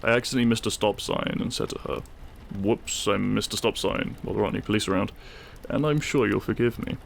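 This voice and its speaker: A devoted, wry husband , acted by a man in an intimate audio roleplay. His voice is fairly low with a gravelly creak. He speaks dryly and fondly, with faint ambience behind him. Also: fast, a little hiss or hum. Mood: playful. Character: devoted, wry British husband sheltering a guest.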